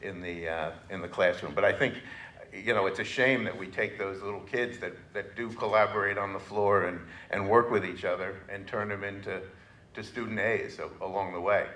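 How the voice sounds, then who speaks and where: An American man in his fifties haltingly putting an unscripted question to a panel on a handheld microphone. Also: low voice, steady tone, a bit bright. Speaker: audience questioner, architect. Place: meeting room, indoors. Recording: echoey meeting room.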